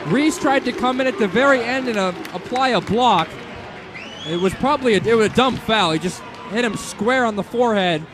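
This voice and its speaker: American guy doing basketball play by play, high excitable voice, noisy crowd behind him. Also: a little fast. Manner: animated, excitable sportscaster delivery. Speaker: radio play-by-play announcer. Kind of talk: live basketball play-by-play commentary. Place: indoor basketball arena broadcast position. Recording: loud arena crowd noise behind voice.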